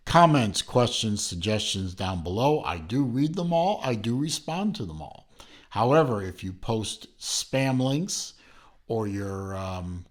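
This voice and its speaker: A man hosting his own channel, reviewing a watch in a rambling, casual way with lots of fillers and restarts, filming at home from behind the camera. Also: lowish voice.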